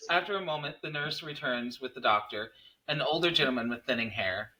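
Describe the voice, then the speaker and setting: A man's voice: mid-range voice, fairly quick, pushed and bright, poor audio. A man reading stage directions aloud as the narrator of a table read.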